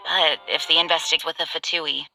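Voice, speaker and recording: A woman playing a composed, dutiful young knight commander in a video game, speaking in a formal, measured, earnest way. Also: low voice, steady tone, music in the background, low-quality recording. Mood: grave, weary.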